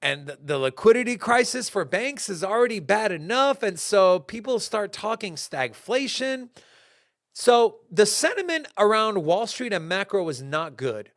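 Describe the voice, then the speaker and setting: A young man hosting a casual crypto market show, talking in animated bursts with fillers and restarts. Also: high-pitched, measured pace, no room sound, clean studio sound. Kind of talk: casual market commentary to a live chat.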